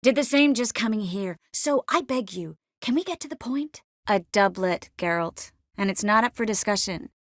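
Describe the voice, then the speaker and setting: An actress playing a determined, warm young sorceress in a fantasy video game, speaking earnestly and expressively with a gently teasing manner. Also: female voice, medium pitch, expressive, normal speed, hardly any pauses, dry recording, studio quality, lightly acted. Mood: earnest, composed. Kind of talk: video game dialogue acting.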